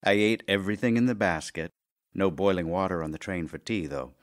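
An actor playing a middle-aged Russian playwright in an audio drama, wry and lovesick, with theatrical, deliberate pauses. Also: male voice, low voice, lightly acted. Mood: wry, tender. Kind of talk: theatrical audio drama, reading love letters.

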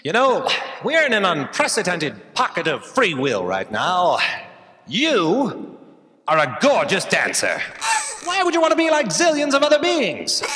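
A man's voice: high voice, wildly swooping pitch, measured pace, pushed and bright, exaggerated voice. A man playing a brash, intrepid space detective hero in a staged comedy audio drama, speaking theatrically and emphatically. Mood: earnest, admiring. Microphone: stand microphone. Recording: live theatre stage with long hall reverb.

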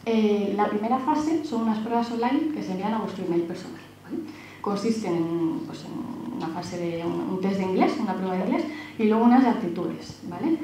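A woman's voice: medium pitch, brisk, warm tone. Woman in a very echoey hall. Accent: Spain. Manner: reassuring, frequent checking tag "¿vale?". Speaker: recruiter speaking at a careers talk. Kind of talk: informational presentation to students. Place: large auditorium, panel table. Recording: very echoey hall, some noise.

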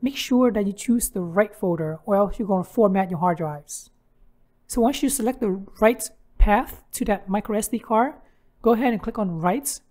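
Female tutorial host, mid-pitched, delivering step-by-step how-to narration. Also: normal speed, a little mellow.

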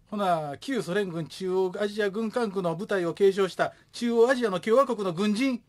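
A middle-aged man with a Kansai accent playing a gruff, joking widowed father in a comic radio drama. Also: sits high, moderate pace, lightly acted.

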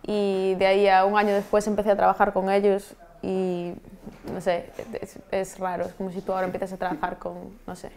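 A woman, answering interview questions in a relaxed way with frequent restarts, recorded in a studio on a lapel mic. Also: mid-range voice, fairly quick, a little hiss or hum. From Vigo, Galicia, Spain. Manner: relaxed, many restarts and trailing-off endings. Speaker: guest rapper and software engineer. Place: TV studio set.

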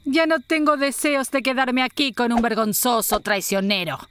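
Woman as a high-pitched, spiteful demon wife. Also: steady tone, normal speed, no room sound, lightly acted. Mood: vindictive, outraged. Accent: Latin American. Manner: sharp, contemptuous, imperious. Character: spiteful, haughty demon wife, scornful and vindictive. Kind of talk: animated series fan dub acting.

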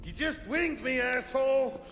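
An actor playing an arrogant, foul-mouthed gangster villain who barks and taunts, in a crime game. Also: male voice, very high-pitched, unhurried, music in the background. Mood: furious taunting. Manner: barking, taunting. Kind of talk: cinematic crime game acting.

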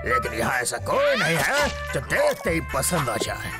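A man's voice: a little high, huge pitch swings, normal speed. A man voicing a greedy, boastful conquistador villain in a cartoon dub, with a theatrical, gloating manner. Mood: curious, haughty. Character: scheming, greedy male conquistador treasure hunter, boastful villain. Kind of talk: exaggerated cartoon dub acting. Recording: loud cartoon music behind.

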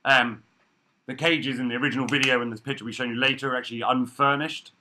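A man in his thirties giving a relaxed, chatty workshop talk over a video call from an office room, with frequent ums. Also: medium pitch, quick pace, slight background noise. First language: English. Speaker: workshop speaker. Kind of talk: casual presentation. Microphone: laptop webcam video call.

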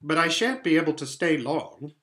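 A little girl from a storybook, acted out by a man, polite and a bit puzzled. The voice is bright and lightly raised, with simple, hesitant, well-mannered delivery. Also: fairly high pitch, animated, normal speed. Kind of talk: children's storybook reading.

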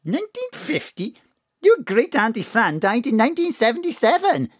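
A woman's voice: on the high side, big pitch swings, moderate pace, breathy edge, dry recording, studio quality. An actress playing a doting, chatty, slightly daffy middle-aged mother with a Welsh accent, fussing with endearments in an audio drama. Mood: concerned, puzzled. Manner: fussing endearments and tag questions.

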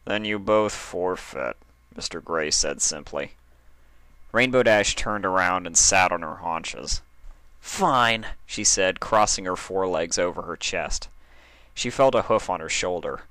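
A man's voice: deep voice, a little slow, bright, forceful tone, dry recording. A man reading scripted fiction aloud as the narrator of a story.

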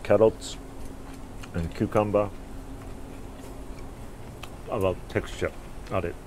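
A middle-aged man with a Japanese accent, a sushi chef hosting, speaking in short halting phrases outdoors on a garden patio. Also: on the low side, fairly even intonation, noisy background.

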